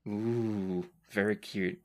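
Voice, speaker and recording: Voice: low voice, fairly even intonation, extremely slow. A podcast host and game master with a playful, wry manner, chatting casually and reading out listener questions. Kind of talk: casual Q&A chat.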